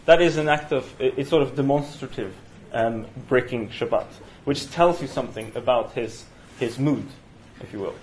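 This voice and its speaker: Male lecturer, Norwegian accent, mid-pitched, hesitant and full of restarts, faint hiss behind. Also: low-quality recording. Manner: halting, many fillers and restarts. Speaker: teacher leading a study session. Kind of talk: university seminar lecture. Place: university room. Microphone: single room mic.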